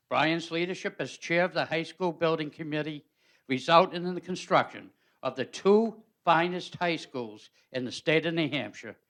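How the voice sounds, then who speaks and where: A man, a city alderman, reading out a formal resolution in a steady, measured official recital into a handheld microphone in a council chamber. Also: on the high side.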